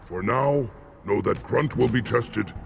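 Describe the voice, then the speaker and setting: A man playing a solemn, battle-loving alien shaman in a video game, speaking in a gravelly, ceremonial, measured way. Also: medium pitch, slight background noise. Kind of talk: dramatic video game acting.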